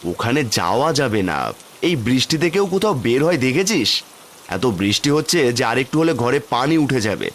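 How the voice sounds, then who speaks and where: A man's voice: mid-range voice, very animated, brisk, slight background noise. A man voicing a humble, simple village father in a cartoon, homely and easily amazed. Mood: exasperated. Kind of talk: cartoon fairy-tale voice acting.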